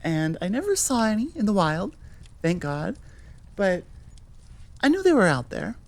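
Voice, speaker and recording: A woman's voice: mid-range voice, sing-song, unhurried, darkish tone, dry recording. An American woman from Nevada chatting informally as an audio creator in a rambling, self-correcting outro. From Nevada, United States. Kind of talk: casual rambling outro chat.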